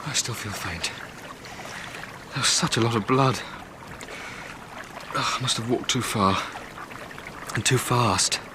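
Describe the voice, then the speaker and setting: A man's voice: mid-range voice, slow, pauses often, background noise, lightly acted. An actor in a radio drama playing an eager, impressionable young man given to musing aloud. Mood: faint, shaken. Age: young adult. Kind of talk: radio drama acting, interior monologue.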